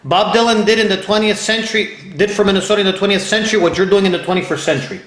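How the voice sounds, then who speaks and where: A man's voice: high voice, very fast, a bit bright, a little hiss or hum. A man from Ohio hosting an interview, eager and repeating himself as he restarts phrases. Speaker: interview host. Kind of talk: casual interview. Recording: echoey room.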